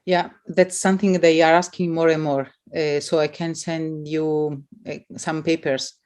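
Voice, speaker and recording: Female academic, Italian accent, lower register, expressive but searching delivery as she explains ideas to an audience. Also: unhurried. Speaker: academic guest speaker. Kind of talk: academic talk answering audience questions.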